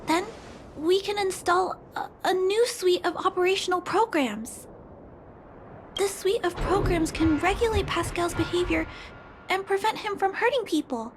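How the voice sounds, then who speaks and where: An actress playing an earnest, kind-hearted young girl who talks softly and sincerely, sometimes hesitating, in a game cutscene. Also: very high voice, moderate pace, a little hiss or hum. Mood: pleading. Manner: soft, sincere, a little hesitant. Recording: studio dub with music underneath.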